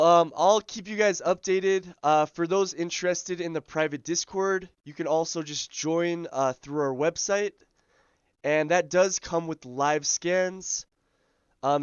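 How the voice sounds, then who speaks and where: A man hosting a live day-trading stream, his voice scratchy as he loses it, talking through charts in a relaxed way full of ums and uhs. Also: on the high side, smooth, no echo, lo-fi sound. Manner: relaxed, filler-heavy, thinking aloud. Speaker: day trading livestream host. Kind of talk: live market commentary.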